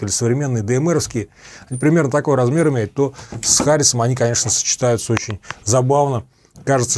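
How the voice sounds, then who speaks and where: A middle-aged man with a slightly husky voice, methodically explaining equipment in a review to camera. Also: medium pitch, darkish tone. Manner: methodical, explanatory, repeats points for clarity. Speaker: host of a radio equipment review channel. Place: small dim home office with computer monitors.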